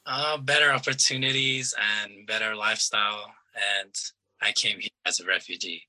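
A young man in his twenties from Iran, a soccer player answering interview questions in a relaxed, halting way with restarts. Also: on the low side, rough recording.

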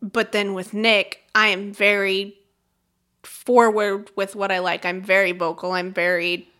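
A young woman co-hosting a podcast, trading playful, quick-witted teasing in casual chat. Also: mid-range voice, level tone, sharp tone. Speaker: podcast co-host.

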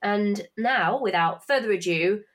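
A woman's voice: medium pitch, a little slow. A young woman in her twenties, a podcast host, talking in a chatty, swearing, self-mocking way while congested from hay fever. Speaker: podcast host and finance tutor. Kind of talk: casual podcast chat with promotion.

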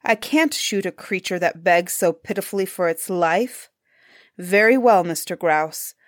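A woman's voice: fairly low pitch, animated, a little slow, no echo, clean studio sound. A woman voicing a kindly but firm peasant hunter in a storybook tale. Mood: relenting. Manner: firm, mild-tempered.

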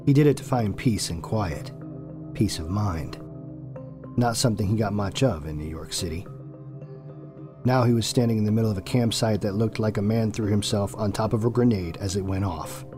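A man's voice: fairly low pitch, normal speed, over music. A man reading scripted, dramatic narration for an audio drama.

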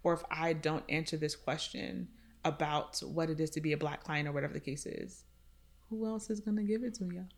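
Earnest lower-voiced woman, podcast chat. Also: steady tone, brisk. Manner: earnest, reflective, uses "right?" often. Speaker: counselor specializing in racial identity. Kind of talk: casual podcast discussion.

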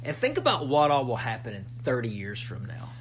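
A man in his thirties co-hosting a podcast, musing casually in halting, repetitive talk. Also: medium pitch, wildly swooping pitch, slight background noise. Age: 30s. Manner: musing, halting, repeats himself. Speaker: podcast co-host. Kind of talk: casual chat.

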